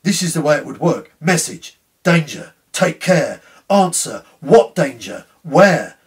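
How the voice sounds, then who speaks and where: A man's voice: on the high side, very animated, slow, pitched above the performer's own voice, full character voice. A man voicing a tormented, fearful railway signalman in a dramatic story reading, earnest and anguished. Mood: frantic. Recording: slightly distant room microphone.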